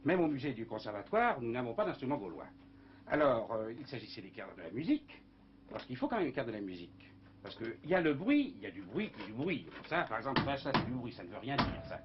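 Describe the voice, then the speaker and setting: A man, a composer, explaining sound and music quickly and demonstratively. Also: medium pitch, big pitch swings. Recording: dull archive tape with hiss.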